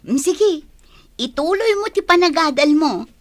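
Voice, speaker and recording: Admiring young female friend, acted by a woman: radio drama, Ilocano accent, high pitch, slow delivery. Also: lively intonation.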